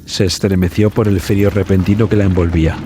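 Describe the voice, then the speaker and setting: A man hosting a podcast, narrating a scripted story in a measured, suspenseful manner. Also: low voice, level tone, mellow, faint music behind.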